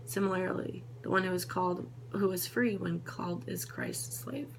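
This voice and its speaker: A woman reading Bible passages aloud in a steady, unhurried way, now and then correcting a slip. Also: lowish voice, gravelly, a little hiss or hum. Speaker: Bible reader.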